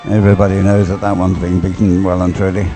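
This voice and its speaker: A man giving unscripted live race commentary, explaining as he goes in a hesitant, halting way and repeating words. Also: low-pitched, completely flat delivery, music in the background. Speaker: sports commentator.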